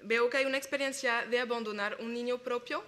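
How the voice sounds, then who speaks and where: A woman's voice: on the high side, fairly even intonation, a bit bright. A young woman with a French accent, French her first language, presenting her doctoral research in careful, hesitant Spanish at an academic conference. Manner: careful, measured delivery with hesitations. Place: lecture hall with a large audience.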